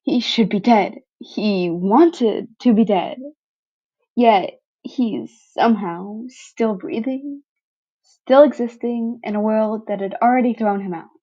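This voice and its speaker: A woman reading fan fiction as a dramatic, brooding scripted narration with a halting delivery. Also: a little high, mellow. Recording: dull lo-fi home recording.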